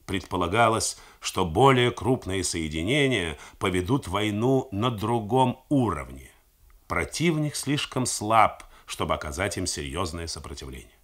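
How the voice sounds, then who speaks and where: A man narrating a novel in a measured, theatrical reading style for a 1980s radio broadcast. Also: deep voice, very animated, fairly bright tone. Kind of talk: scripted literary narration.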